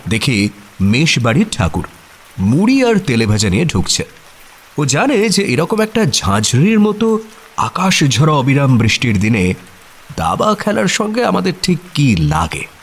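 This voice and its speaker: An actor playing a detective's good-humoured friend, narrating a radio drama in an expressive, wry, conversational way. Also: male voice, mid-range voice, measured pace, husky, exaggerated voice. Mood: good-humoured, animated.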